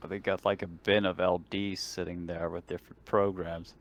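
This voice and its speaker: A man's voice: low voice, flat delivery, normal speed. A man chatting casually and bluntly, with some swearing, as a player in a tabletop role-playing game.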